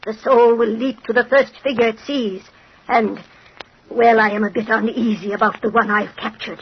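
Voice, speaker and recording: High-pitched sinister fortune teller , played by a woman. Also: fairly even intonation, normal speed, lightly acted. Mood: eerie, intent. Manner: theatrical, incantatory delivery. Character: sinister female fortune teller conjuring souls, sly and gloating. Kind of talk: old-time radio horror acting. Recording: noisy, dull vintage radio recording.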